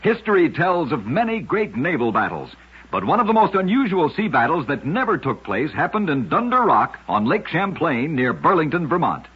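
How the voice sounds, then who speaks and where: A man's voice: a little high, big pitch swings, normal speed, slightly rough. A man narrating short scripted true stories as a radio host, with a dramatic storyteller delivery. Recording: dull lo-fi old radio recording.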